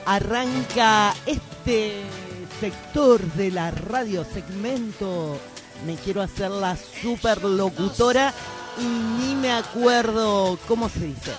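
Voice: mid-range voice, slow. A radio host with a playful, clowning manner, interviewing guests live on air. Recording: music behind the voice. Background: music.

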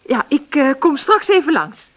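A woman's voice: high voice, very animated, moderate pace. An actress playing a flustered, eager single mother who is hard up, scatterbrained and easily agitated, in a naturalistic radio play. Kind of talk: naturalistic radio drama acting.

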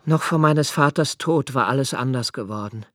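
An actor playing a melancholy, observant young man, narrating a literary radio drama in a measured, introspective way. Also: medium pitch, close to an everyday voice. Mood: subdued, melancholy. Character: melancholy, observant young man recalling his father's death.

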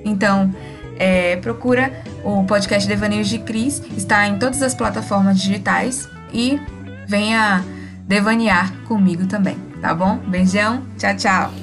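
A woman's voice: medium pitch, measured pace, over music. Friendly Brazilian woman promoting a podcast. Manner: friendly, conversational. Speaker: podcast host.

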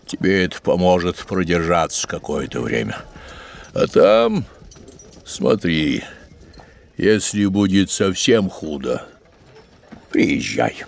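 An actor playing a careworn middle-aged father, a gently apologetic shopkeeper with a gravelly voice, in an audio drama. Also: low-pitched, huge pitch swings, slow pace, long pauses, a little hiss or hum, full character voice. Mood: heavy, tender. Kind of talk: Russian audio drama acting.

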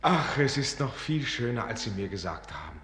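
A man's voice: medium pitch, sing-song, moderate pace, fairly bright tone. A man playing a naive, dreamy, easily flustered young student in a radio play, earnest and theatrical. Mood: enraptured.

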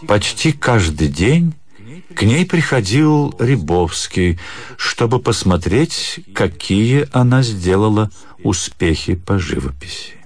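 Deep-voiced man narrating a literary story. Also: big pitch swings, takes it slowly. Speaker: narrator of an audio drama. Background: music.

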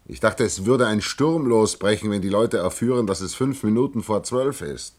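Actor as earnest low-voiced young alien scientist. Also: male voice, darkish tone, natural voice. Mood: grave, explaining. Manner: halting, hesitant, given to grave pronouncements. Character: earnest young scientist claiming to be from another planet. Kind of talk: German radio play acting.

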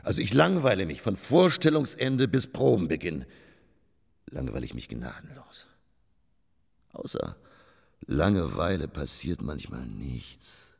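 Radio play: self-absorbed stage tragedian, low gravelly voice, declamatory, rambling, melancholy. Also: male voice, sing-song, measured pace, long pauses, a little mellow, full character voice. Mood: musing, theatrical. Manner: declamatory, rambling, dramatic swings from whisper to outburst. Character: theatrical, self-absorbed, melancholy male stage actor living for theatre. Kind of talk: German radio drama monologue.

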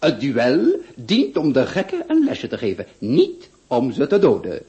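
An actor playing a genial, courtly and eloquent marquis in a radio drama. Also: male voice, mid-range voice, huge pitch swings, unhurried, warm tone. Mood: jovial, encouraging. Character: genial, formal gentleman marquis acting as duel second. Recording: dull, muffled radio play recording.

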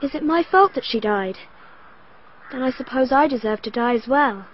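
A woman's voice: high voice, lively intonation, moderate pace, slight background noise. An actress playing a dreamy, naive young girl in a radio drama, speaking in a wistful, childlike way. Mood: dreamy, wondering. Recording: dull lo-fi broadcast recording.

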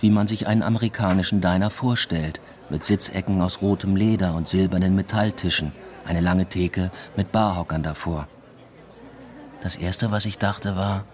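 A man's voice: sits low, natural voice. An actor playing a hesitant, introspective male doctoral student in a German radio drama, restrained and matter-of-fact.

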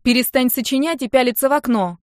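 A narrator plays a combative young woman in an audiobook family squabble. She protests in exasperated exclamations, her voice pushed up high and emphatic, with a dry, roomless sound. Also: fast, dry recording, natural voice.

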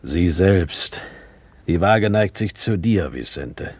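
An actor playing a stern, weary flower seller in a radio play, speaking in a grave, deliberate, resigned manner. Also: male voice, deep voice, lively intonation, breathy edge, no room sound, exaggerated voice. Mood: grave refusal. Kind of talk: German radio play acting.

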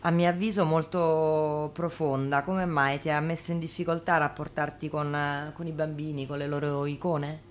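A woman from Italy with a distinctly low voice hosts a relaxed, conversational interview. She puts her questions informally, with faint noise behind her. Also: moderate pace, few pauses. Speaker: interviewer, host. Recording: noticeable background noise.

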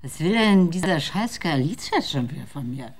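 A woman's voice: sits low, lively intonation, normal speed. An actress playing a bitter, drunken woman who curses scornfully in a radio drama. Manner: cursing, scornful, breaks into tears. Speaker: voice actor.

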